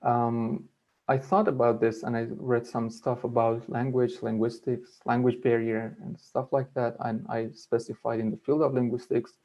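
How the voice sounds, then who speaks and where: A man's voice: mid-range voice, level tone, a little mellow. A man with a blended Persian and Kurdish accent, giving an earnest webinar talk and restarting words as he goes. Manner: earnest, halting with frequent restarts. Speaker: webinar speaker. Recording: dull lo-fi webinar audio.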